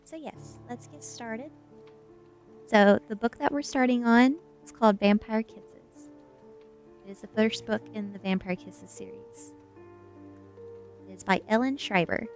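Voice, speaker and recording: A woman streamer in a soft ASMR style, chatting and reading a story aloud in a gentle, unhurried manner that is hushed at times. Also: fairly high pitch, fairly even intonation. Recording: music behind the voice. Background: background music.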